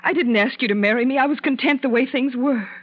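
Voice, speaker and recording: A woman's voice: sits high, fast. An actress playing a hurt, timid young woman who speaks hesitantly and pleadingly in a 1940s radio drama. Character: hurt, timid young wife, a lady's companion. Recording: tinny, narrow vintage 1940s radio recording.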